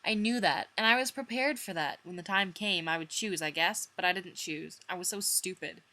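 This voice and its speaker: A woman's voice: fairly low pitch, normal speed, sharp tone. A woman voicing a guilty, brooding young man torn between lovers, reading fanfiction melodramatically with hesitant sighs. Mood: self-blaming.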